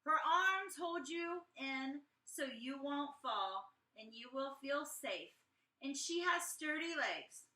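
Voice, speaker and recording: A woman voicing an earnest, self-doubting young schoolboy in an expressive storybook read-aloud, with emphatic, deliberate phrasing. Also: sits high, pitched above the performer's own voice, full character voice. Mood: hesitant, tender. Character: earnest young schoolboy writing journal poems, defensive, doubtful. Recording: echoey room, distant mic.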